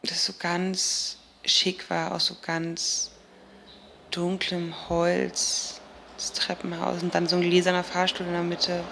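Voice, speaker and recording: Junge Frau, stockend, mit Knarren in der Stimme. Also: female voice, fairly low pitch, completely flat delivery, gravelly, bright, forceful tone, a little hiss or hum, exaggerated voice. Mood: searching, uneasy. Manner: halting, colloquial, with fillers and self-corrections. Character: young woman recounting a strange dream, searching for words. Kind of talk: naturalistic radio drama monologue.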